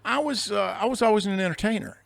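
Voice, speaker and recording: A man's voice: sits high, fast talker, creaky. An older man with a big bluesy singer's voice, telling career anecdotes in a chatty interview with jokey asides, recorded in a studio. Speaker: singer-songwriter guest. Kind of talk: casual interview storytelling.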